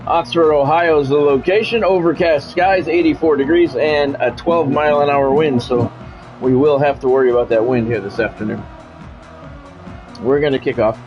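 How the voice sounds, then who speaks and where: A man's voice: a little high, normal speed. A man giving casual, rambling, conversational commentary as he hosts a football video game stream. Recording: dull lo-fi audio with music behind.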